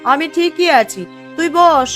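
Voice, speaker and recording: A woman's voice: very high voice, measured pace, fairly bright tone. A woman voicing a touchy, indignant village mother in a cartoon story, complaining emphatically. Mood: aggrieved. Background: music.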